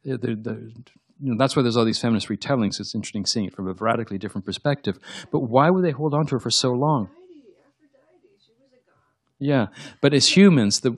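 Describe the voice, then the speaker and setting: Quebec guy whose first language is french, fairly low voice, rambly and thoughtful, super dry studio sound. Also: dry recording. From Quebec, Canada. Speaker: novelist guest. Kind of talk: radio interview.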